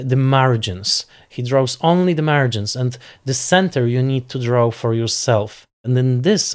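A man speaking off the cuff as a film critic in an interview, analysing in a deliberate, emphatic way and searching for precise words. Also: medium pitch, a little mellow. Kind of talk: unscripted analytical interview commentary.

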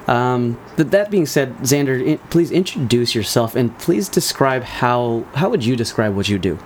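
A man's voice: mid-range voice, lively intonation, normal speed, a little hiss or hum. A man hosting a casual interview, friendly and loose, with slangy phrasing and frequent laughs. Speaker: podcast host.

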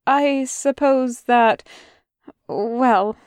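A woman voicing a fussy, excitable male angel bookseller who chatters eagerly and gets flustered. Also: a little high, drawn-out words, smooth, dry recording, studio quality.

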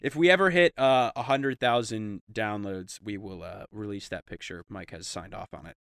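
A man co-hosting a podcast, chatting casually and trading quick jokes. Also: lowish voice, vocal fry, a bit bright, clear, resonant tone, no room sound, clean studio sound. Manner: joking, quick banter. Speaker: podcast co-host. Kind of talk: casual comedic chat.

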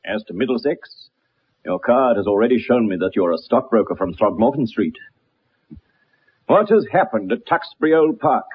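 An actor playing a haughty, precise gentleman detective with a British accent in a radio drama, speaking in formal, pompous phrases. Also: mid-range voice, expressive, normal speed, a little hiss or hum, natural voice. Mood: brisk, assured. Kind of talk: radio drama acting with first-person narration. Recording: dull lo-fi old radio recording.

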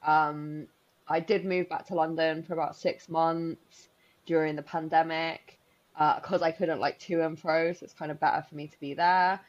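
Podcast co-host, woman in her 30s, deep voice, rambling chatty delivery, slightly muffled lo-fi sound. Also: fairly even intonation, moderate pace. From Spain. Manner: chatty, rambling with many likes and restarts. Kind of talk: casual chat.

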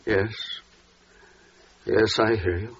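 An actor playing a humble, devout father in a 1940s radio drama, speaking with solemn, halting reverence. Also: lowish voice, fairly even intonation, slightly rough, full character voice. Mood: stunned awe. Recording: dull 1940s radio recording.

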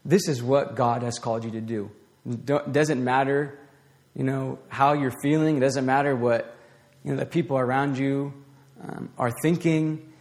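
A man with an ordinary mid-range voice preaches in an easy, conversational way, often restarting and trailing off into fillers. His voice has a slight rasp from talking. The sound carries a long reverb, as if he is in a hall. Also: medium pitch, steady tone, normal speed, a little mellow. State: voice getting raspy. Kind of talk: informal sermon.

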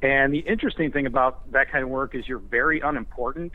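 A man's voice: mid-range voice, quick pace, no echo. A man speaking over a phone line as an interview guest, hesitant and self-deprecating in casual conversation. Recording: band-limited phone line.

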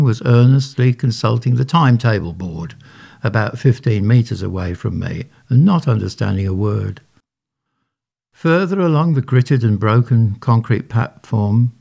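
Wry male author reading his story, low gravelly voice. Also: darkish tone. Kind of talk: read-aloud short story narration.

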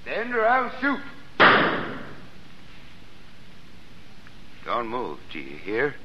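A man's voice: mid-range voice, wildly swooping pitch, normal speed. An actor playing a stern, upright older American watchman in an old-time radio drama. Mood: challenging. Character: stern, upright older Bible-reading watchman, secretly soft-hearted. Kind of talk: 1930s-40s American radio drama acting. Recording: muffled, noisy vintage radio recording.

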